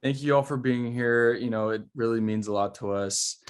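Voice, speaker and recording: A man's voice: mid-range voice, normal speed, smooth, lightly acted. A man playing an awkward, earnest expectant father in a short film table read over video call.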